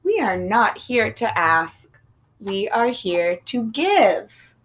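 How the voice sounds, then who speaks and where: A woman voicing an eager, hungry little boy who talks slowly and dreamily. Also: medium pitch, big pitch swings, fairly bright tone, full character voice, rough recording. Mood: reassuring.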